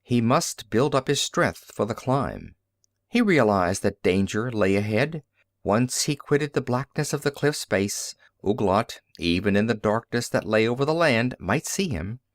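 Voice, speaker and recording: A man reading science fiction as an audiobook narrator, in a dramatic, deliberate storytelling manner. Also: mid-range voice, no echo, clean studio sound.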